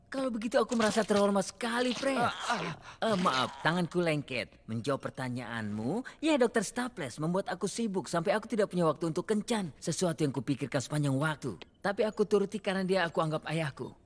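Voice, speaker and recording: A man's voice: sits high, animated, a little fast, a little hiss or hum. A man voicing a smarmy, lovestruck lab assistant in a cartoon dub, with a hesitant, oily manner. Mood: smitten, awkward.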